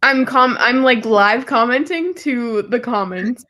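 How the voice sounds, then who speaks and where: A woman's voice: a little high, a little slow, pushed and bright. A young woman from Georgia co-hosting a casual live-stream book chat with dry, teasing remarks. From Georgia, United States. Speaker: co-host.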